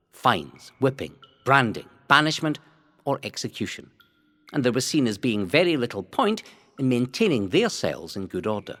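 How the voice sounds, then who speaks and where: A man reads a scripted historical narration in a theatrical, measured storytelling manner. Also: medium pitch. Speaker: narrator of a local history audio guide.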